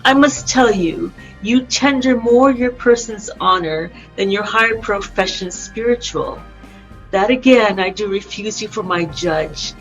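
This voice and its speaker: A woman playing a proud, wronged queen defying her judges in an amateur Shakespeare reading, with deliberate verse delivery. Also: medium pitch, close to an everyday voice. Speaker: amateur actor in an online play reading. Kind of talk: amateur Shakespeare read-aloud. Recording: dull online call with music.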